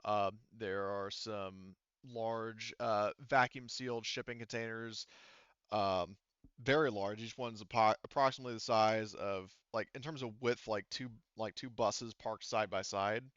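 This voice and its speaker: A man speaking as game master, improvising tabletop game narration in a casual, halting, rambling way and often hedging. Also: fairly low pitch, fairly even intonation, fairly bright tone, clear, resonant tone, no echo, muffled recording.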